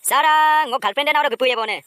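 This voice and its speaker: Someone doing a squeaky cartoon kid tattling to teacher, talks fast, excitable. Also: dry recording, clean studio sound. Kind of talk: cartoon comedy dialogue.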